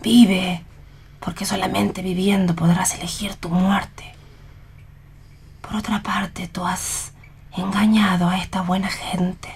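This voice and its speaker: A woman playing a calm, wise street vendor in a radio play, with a breathy, husky voice and a deliberate, admonishing manner. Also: fairly low pitch, slight background noise. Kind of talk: read radio theatre.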